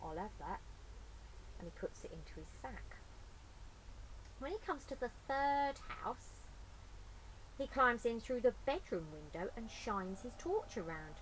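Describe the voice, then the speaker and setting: A woman's voice: a little high, big pitch swings, measured pace, pauses often, a bit bright, low-quality recording. A woman speaking informally and expressively as a storyteller for children, recorded inside a greenhouse. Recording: clear ambient background noise.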